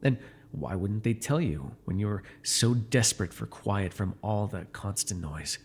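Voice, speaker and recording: A man's voice: on the low side, animated, unhurried, breathy edge, full character voice. A man playing a smug, menacing male supervillain in an ASMR roleplay, taunting and commanding the listener with condescension. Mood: sly, menacing.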